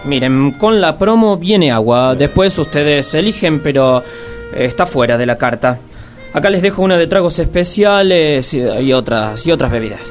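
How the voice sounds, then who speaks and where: A man's voice: fairly high pitch, expressive, moderate pace, soft background music, close to an everyday voice. An actor playing a courteous male restaurant host. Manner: courteous, ushering.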